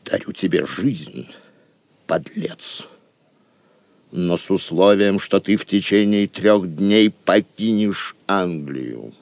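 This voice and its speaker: A proud warrior king from an audio drama, declaims in a stately, theatrical way. He speaks slowly, with wide swings of pitch in a dry recording, stern and commanding yet magnanimous. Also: lively intonation, gravelly, no room sound, heavily acted.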